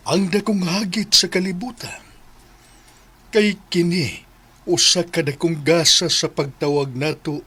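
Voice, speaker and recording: Slow-spoken Cebuano priest. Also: male voice, on the high side, a bit bright. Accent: Filipino, Cebuano. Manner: measured, consoling, preaching. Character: patient priest giving solemn spiritual counsel. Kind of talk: radio drama acting.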